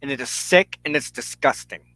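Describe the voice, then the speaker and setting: A man preaching an impassioned monologue as a spiritual show's host, emphatic and deliberate, with dramatic pauses and repeated phrases. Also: mid-range voice, sharp tone, no echo, poor audio.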